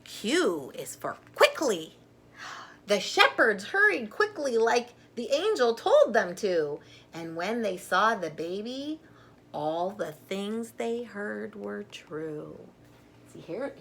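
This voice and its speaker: A woman's voice: mid-range voice, gravelly, a bit bright, a little hiss or hum. A woman storyteller reading a children's story aloud with simple, emphatic phrasing and informal asides to young listeners.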